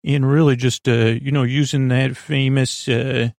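Creaky-voiced man rambling at bedtime. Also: medium pitch, little pitch movement, a little mellow, no room sound, clean studio sound. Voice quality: creaky, lulling. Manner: meandering, tangential, halting, self-deprecating nonsense. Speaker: podcast host. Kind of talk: rambling bedtime monologue to help listeners sleep.

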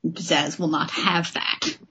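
A woman's voice: low-pitched, little pitch movement, slow pace, pushed and bright, a little hiss or hum. A woman hosting a casual comic book discussion, speaking in an enthusiastic, informal way. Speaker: podcast host.

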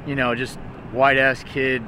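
A man's voice: mid-range voice, flat delivery, measured pace, noisy background. Rambling young man from Texas. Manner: rambling, hesitant, heavy use of "like". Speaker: music artist interviewed. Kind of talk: casual interview talk.

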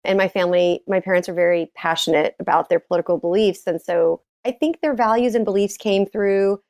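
A woman's voice: medium pitch, fairly even intonation, a little fast, a little mellow, no echo, studio quality. A middle-aged woman from Texas answering interview questions in a casual, reflective conversational way. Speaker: interview guest, gun violence prevention advocate.

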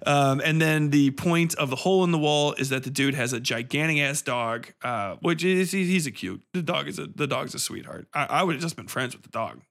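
Bright-voiced man ranting sarcastically about a film. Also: a little high, fairly quick, vocal fry, few pauses. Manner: sarcastic, irreverent, rambling. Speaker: podcast host reviewing a film. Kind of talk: casual, profane film review monologue.

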